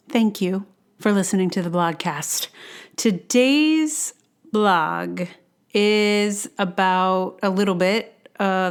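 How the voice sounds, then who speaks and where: A woman's voice: fairly low pitch, smooth. A woman hosting a blogcast, reading her personal essay in a reflective, conversational way with wry, self-deprecating asides. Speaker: blogcast host and writer.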